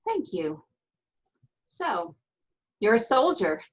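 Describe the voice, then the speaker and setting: A woman's voice: mid-range voice, very animated, slow, lo-fi sound. A woman in a staged play reading, playing a fragile, shaken young Barbie doll, tentative and sweet. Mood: gentle, curious.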